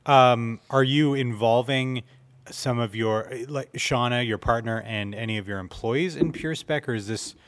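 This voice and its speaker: A man's voice: mid-range voice, unhurried, a bit bright. A man hosting a podcast, asking questions in casual, conversational chat. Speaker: podcast host, interviewer.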